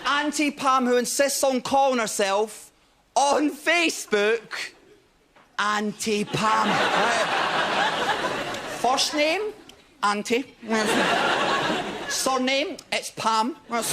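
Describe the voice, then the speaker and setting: A Scottish man in his twenties doing a stand-up set on stage, telling stories quickly and with punchlines. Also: extremely high pitch. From Scotland. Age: 20s. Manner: quick, animated storytelling with punchlines. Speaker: stand-up comedian. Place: TV studio stage before a live audience. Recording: audience laughter and crowd noise.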